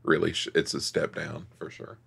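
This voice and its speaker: A man with a very low, gravelly voice talks through a film review in casual podcast chat. He hesitates and restarts often, working out his thoughts as he goes. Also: level tone, moderate pace, a bit bright. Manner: hesitant, thinks aloud with many restarts. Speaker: podcast co-host.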